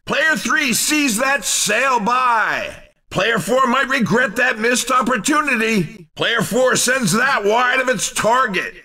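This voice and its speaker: A man's voice: very high-pitched, animated, slow, sharp tone, distant mic, exaggerated voice. An actor playing an exuberant, cheeky male race commentator in a video game, calling the action in punchy, wisecracking exclamations. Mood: excited, wisecracking.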